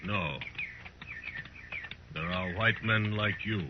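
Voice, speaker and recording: An actor playing a proud, embittered middle-aged war leader in a 1950s radio western, speaking in formal, measured sentences. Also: male voice, on the low side. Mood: cold, deliberate. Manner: formal, measured speech without contractions. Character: proud, embittered older Cheyenne war leader, grave and fatalistic. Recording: noisy, muffled 1950s radio recording.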